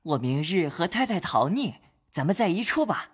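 A man playing a lovestruck, teasing young nobleman of old China in an audio drama, speaking softly and coaxingly. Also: high voice, sing-song, normal speed, slightly rough, heavily acted. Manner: soft, coaxing. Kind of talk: audio drama acting of a classical novel. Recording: long hall reverb, noisy insect ambience.

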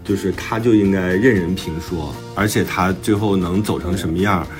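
Low male voice, author in casual discussion, reflective and explanatory, faint music behind. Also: normal speed. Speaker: author and podcast host discussing their own book. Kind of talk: casual book talk. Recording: music playing behind the talk. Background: background music.

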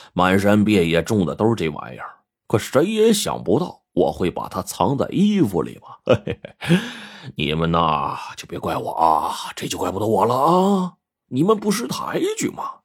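A menacing crime boss, acted out by a male storyteller for an audio story. The voice is low and husky, swinging freely as he sneers. He swaggers and gloats through mock-friendly threats. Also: expressive, fairly quick, fairly bright tone, exaggerated voice. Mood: sinister gloating.

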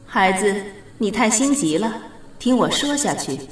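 Strong echo, calm goddess acted by a woman, measured, gently authoritative, patient, lively intonation, radio drama. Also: mid-range voice, distant mic, faint music behind, close to an everyday voice. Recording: very echoey reverberant studio effect.

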